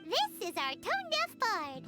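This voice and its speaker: A tiny, bubbly fairy companion from a video game. The voice is squeaky and extremely high, with big swoops of childlike excitement and cheek. Loud music plays behind it. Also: female voice, measured pace, heavily acted. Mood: excited, cheeky. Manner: excitable, speaks of self in third person.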